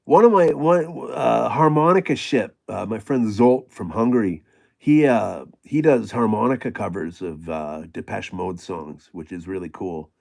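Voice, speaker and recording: A middle-aged man with a gravelly voice, hosting a casual livestream and chatting with viewers in a rambling way full of fillers and restarts. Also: on the low side, unhurried, darkish tone. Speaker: livestream host reading chat.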